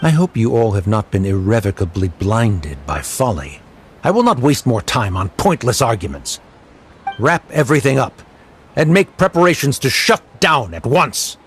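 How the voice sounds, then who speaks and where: A man's voice: medium pitch, sing-song, moderate pace, slight background noise. An actor playing a cold, curt middle-aged father who speaks in a formal, dismissive way. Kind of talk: video game dialogue.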